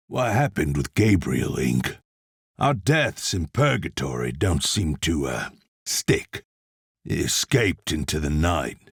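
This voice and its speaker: An actor playing a gruff, hard-bitten demon hunter and vengeful soldier, terse and plain-spoken, with gravelly video game delivery. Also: male voice, a bass voice, no echo, clean studio sound, full character voice. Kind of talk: video game voice lines, scripted.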